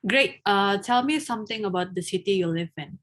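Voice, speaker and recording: A young woman in a mock English speaking test, answering and then asking questions, often pausing with filler sounds. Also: mid-range voice, expressive, a bit bright. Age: young adult. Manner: hesitant, frequent filler sounds. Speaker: test candidate, then examiner.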